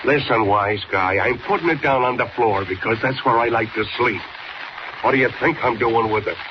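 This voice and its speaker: A man's voice: medium pitch, normal speed, close to an everyday voice. An actor playing a tough, impatient jailbird gangster with clipped wise-guy slang in a 1940s radio drama. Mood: impatient, scornful. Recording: dull, noisy old radio transcription.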